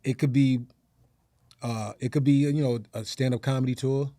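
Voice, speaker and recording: An American man, a stand-up comedian guesting on a podcast, talking casually in slang and thinking out loud. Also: medium pitch, fairly even intonation, moderate pace, no room sound, clean studio sound.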